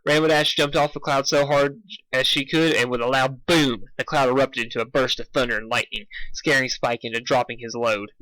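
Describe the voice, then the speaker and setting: A man's voice: medium pitch, steady tone, fairly quick, pushed and bright, no echo, low-quality recording. A young man narrating a fan story, informal, stumbling over words and correcting himself, with casual asides. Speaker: amateur fan fiction reader.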